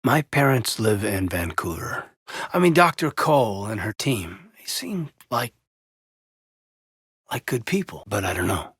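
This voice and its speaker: A man playing a loyal, earnest soldier in a video game, with a husky voice and a measured, sincere delivery that has hesitant pauses. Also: on the low side, big pitch swings, fairly bright tone, no echo, studio quality, heavily acted. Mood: measured, subdued. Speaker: video game character, military officer. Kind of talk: cinematic video game dialogue acting.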